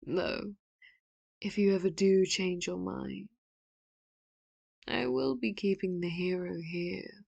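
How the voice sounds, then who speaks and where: A woman voicing a manipulative, doting villain in an ASMR audio roleplay, speaking slowly and softly with sudden sharp threats, close to the mic. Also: medium pitch, steady tone, gravelly, dry recording, lo-fi sound, exaggerated voice. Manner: slow, hushed, often whispered, turns sharp and loud for threats. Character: doting, manipulative villain, soft-spoken and menacing.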